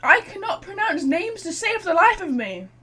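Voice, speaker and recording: Casting: woman game streamer, blunt and jokey, sweary casual chat, lively swooping pitch, bright tone. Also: moderate pace. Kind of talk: casual livestream game commentary.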